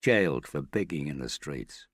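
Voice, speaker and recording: A man voicing a rough, contemptuous man eaten up with hatred, with a husky gravelly voice. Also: rumbling low, normal speed, dry recording, studio quality, exaggerated voice. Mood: bitter. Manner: rough, scornful.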